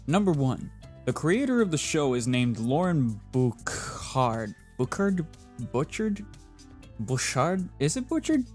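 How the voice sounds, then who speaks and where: Animated, jokey male narrator over music. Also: on the high side. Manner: jokey, chatty, theatrical. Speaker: narrator of a fan facts video. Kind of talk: scripted narration with playful asides. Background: background music.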